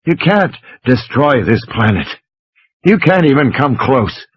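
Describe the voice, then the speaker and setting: A man's voice: medium pitch, very animated, a little slow, breathy edge, no echo, highs cut off. A man playing an exasperated, scornful intellectual who lectures with emphatic passion in a dramatised audiobook. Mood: weary contempt. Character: impassioned, scornful male intellectual lecturing from his sickbed. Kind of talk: dramatised audiobook character reading.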